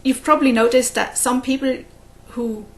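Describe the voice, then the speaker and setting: Chatty woman with a gravelly voice. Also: sits high. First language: English. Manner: chatty, rambling, quick to laugh. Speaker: flosstube host. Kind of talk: casual chat to camera.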